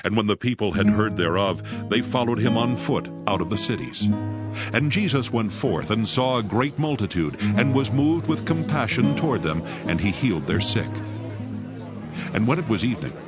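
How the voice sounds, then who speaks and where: A man narrating scripture in a measured, solemn, scripted style. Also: on the low side, expressive. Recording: music bed behind voice. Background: music.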